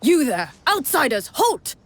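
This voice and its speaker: A woman voicing a stern, suspicious male captain of the guard in a game role-play, gruff and commanding. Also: sits high, sing-song, measured pace, voice pushed up, full character voice. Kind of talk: tabletop role-play within a video game.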